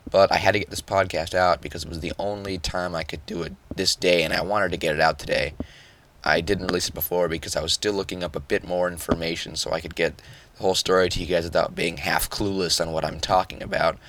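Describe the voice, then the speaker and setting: Young guy podcasting, real low voice, kinda hoarse and nasal, just woke up. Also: fairly even intonation, fast talker, a bit bright, slight background noise. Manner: casual explanatory, with fillers. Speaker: podcast host. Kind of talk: solo podcast talk.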